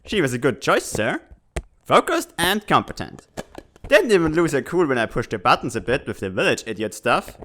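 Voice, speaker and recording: A man's voice: fairly high pitch, sing-song, normal speed, fairly bright tone, a little hiss or hum. A man voicing a goofy but dutiful bird spy in a comic dub, deferential and colloquial in his speech. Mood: earnest, respectful. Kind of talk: dramatic fan-dub comic acting.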